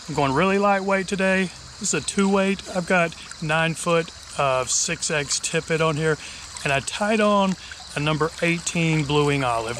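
A middle-aged man narrating a fly tying tutorial in a relaxed, chatty way, thinking aloud as he works. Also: a little high, slight background noise. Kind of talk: casual instructional narration. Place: outdoors on a wide river.

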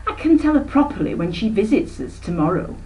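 Storybook snobbish aunt, played by a woman: middle-aged, pompous, gushing, raised pitch. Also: sing-song, a little fast, darkish tone, far from the mic, rough recording. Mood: breezy, fussy. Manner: gushing, pompous, talks in long runs. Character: breezy snobbish middle-aged aunt, flustered, self-important chatterer.

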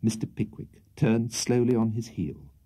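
A man's voice: mid-range voice, a little slow, mellow, husky. A British man reading a literary audiobook as storyteller, in a precise, theatrical manner. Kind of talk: scripted literary audiobook narration.

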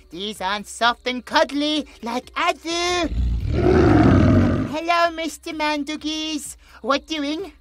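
A British man voicing a gentle, childlike possessed male creature who talks about himself in the third person with broken grammar. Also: extremely high pitch, full character voice. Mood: frightened, protective. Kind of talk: horror audio roleplay.

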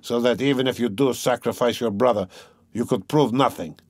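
A man's voice: mid-range voice, a little fast, no echo, lightly acted. A man voicing an obsessive, menacing tormentor in an audiobook, feverish, insinuating and emphatic. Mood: cold menace. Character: obsessive, menacing male tormentor, pleading then coldly mocking. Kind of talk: dramatic audiobook character reading.

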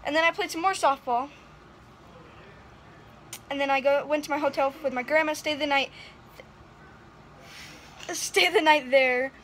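A woman's voice: high voice, steady tone, a little slow, pauses often, fairly bright tone, a little hiss or hum. A young woman vlogging casually about her trip, chatty and giggly, filmed on a phone at arm's length in a hotel room and a car. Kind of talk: casual travel vlog. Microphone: phone held at arm's length.

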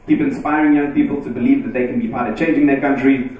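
A man in his thirties from Zimbabwe answers audience questions on stage in a relaxed, joking way, with many fillers. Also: mid-range voice, fast, narrow, tinny audio. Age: 30s. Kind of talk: casual talk and Q&A on stage. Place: large dark event hall with audience. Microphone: handheld mic on stage, heard from afar. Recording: echoey hall, distant mic.

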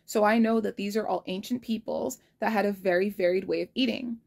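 A young woman in her twenties talking casually to her phone in a kitchen, earnest and frank, reflecting out loud. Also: mid-range voice, fairly even intonation, a little fast, creaky. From United States. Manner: earnest and reflective, frank, spells out numbers carefully. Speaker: vlogger. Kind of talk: casual diary vlog. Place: kitchen indoors. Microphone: phone held low at arm's length.

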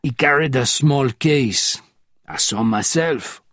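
A man reading an audiobook, voicing a huge, menacing informant who speaks in a measured, formal way. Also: medium pitch, fairly bright tone, dry recording, clean studio sound. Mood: cold.

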